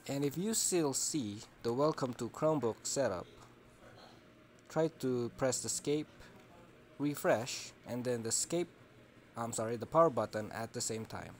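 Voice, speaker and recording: A man's voice: mid-range voice, moderate pace, darkish tone. A man gives a casual step-by-step tutorial in short, simple instructions. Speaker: tech tutorial host. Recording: clearly noisy room ambience.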